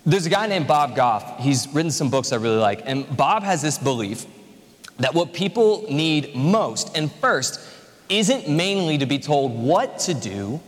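A man in his twenties preaching a conversational, joking sermon to a congregation. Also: fairly high pitch. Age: 20s. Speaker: pastor. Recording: reverberant hall.

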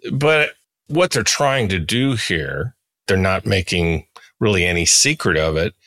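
Low-voiced man in his sixties, podcast host. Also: animated, unhurried, bright, forceful tone, no room sound, clean studio sound. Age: 60s. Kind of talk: conversational interview.

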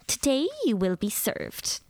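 A woman voicing a stern, grand elderly widow who speaks in a booming, commanding and pompous manner. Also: expressive, measured pace, darkish tone, no echo, natural voice.

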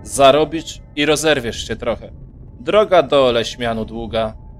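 A man's voice: medium pitch, unhurried, soft background music, natural voice. A man playing a haughty, shrewd margrave who commands a merchant caravan in a fantasy audio drama. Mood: shrewd, businesslike.

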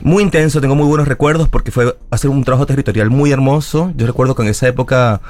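A guest from Venezuela, speaking earnestly in long explanatory runs with small restarts during a radio interview. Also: male voice, mid-range voice, fast talker, a little mellow, faint music behind. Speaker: guest, academic secretary of a school. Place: radio studio.

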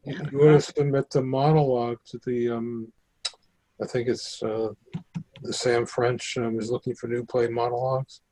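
A man's voice: medium pitch, level tone, normal speed, warm tone, low-quality recording. A man chatting casually as he gives feedback and asks questions of a writer. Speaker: participant giving feedback to a playwright. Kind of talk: casual discussion.

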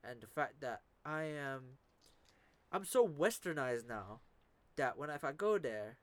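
A man's voice: mid-range voice, expressive, unhurried, no room sound. Young man, from the philippines, casual chat, slangy, swears, repeats himself.

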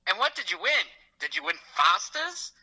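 A man's voice: sits high, big pitch swings, normal speed, pushed and bright, breathy edge, heavily acted. A man voicing a cheery, over-the-top Australian caller with a put-on Australian accent and lots of slang. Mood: jokey. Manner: hammy, slang-heavy. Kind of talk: comic phone-in bit.

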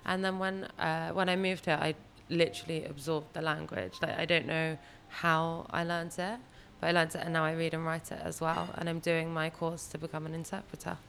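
A woman's voice: sits low, flat delivery, a little fast, gravelly, hardly any pauses, a bit bright. An English woman in her late twenties chatting casually as an interview guest, in a chatty, self-deprecating way. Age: late 20s. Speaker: podcast guest who runs a cafe and helps run a gym. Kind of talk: casual chat. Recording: faint background noise.

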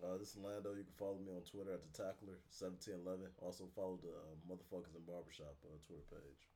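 Fast-talking low-voiced young man. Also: level tone, warm tone. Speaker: podcast co-host. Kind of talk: casual podcast chat.

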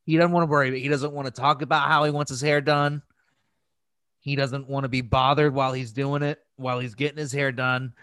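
Man, mid-pitched voice, casual podcast chat, rambling storyteller, profane, dry close sound. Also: flat delivery, brisk, fairly bright tone, smooth, no echo. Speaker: podcast host.

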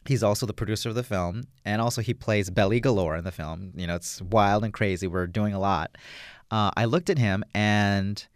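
Enthusiastic rambling man, low smooth voice. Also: moderate pace, dry recording, studio quality. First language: English. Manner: rambling and enthusiastic, with many fillers. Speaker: film director and producer. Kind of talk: casual interview answers.

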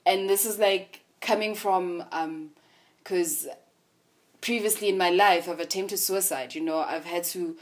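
A woman's voice: on the low side, fairly even intonation, a little slow. A woman in her twenties, hosting a casual conversation and telling her own story in a candid, reflective way. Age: 20s. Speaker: podcast host.

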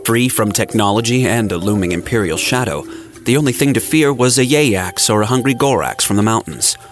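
A man's voice: lowish voice, a little fast. Male dramatic narrator over prominent music. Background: music and sound effects.

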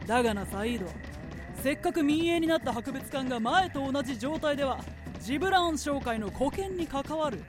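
A woman's voice: high voice, lively intonation, fairly quick, over music. A woman acting a brash, proud, scheming head of a trading company in a radio drama, with imperious, blunt phrasing. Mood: proud, indignant.

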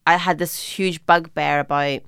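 A young woman speaks in a low, smooth voice with a Northern Irish accent softened by some Scottish. She chats casually and with self-deprecation, as a guest in an interview, and the sound is dry and close. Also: steady tone, normal speed, dry recording. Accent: Northern Irish mixed with Scottish. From Northern Ireland. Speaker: interview guest, fashion stylist and presenter.

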